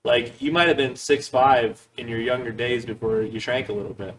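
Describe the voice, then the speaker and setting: A man's voice: medium pitch, steady tone, moderate pace, fairly bright tone, slight background noise. Young male podcast co-host, mid-range voice, off-mic, meandering crude storyteller. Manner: rambling, swears casually. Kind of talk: casual crude banter. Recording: voice distant from mic.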